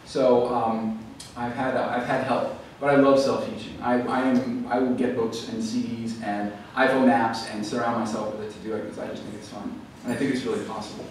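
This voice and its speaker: A man answers audience questions at a library event, talking quickly and explaining at length with plenty of ums and restarts. He is heard from a distance in a very echoey hall, with steady room noise underneath. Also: medium pitch. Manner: thoughtful, explanatory, frequent fillers and restarts. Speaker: author speaking at a library event.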